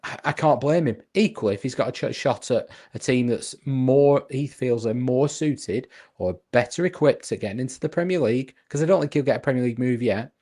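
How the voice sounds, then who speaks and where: A man's voice: medium pitch, a little fast, mellow. Man, football podcast co-host, casual chat, earnest, restarts often. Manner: earnest, reasoning aloud with restarts.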